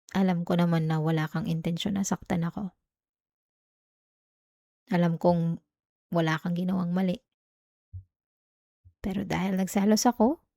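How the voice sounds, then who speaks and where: A woman's voice: lowish voice, vocal fry, dark tone, no echo, clean studio sound. A woman acting as a jealous, clingy young girlfriend in an intimate ASMR roleplay, with a Filipino accent.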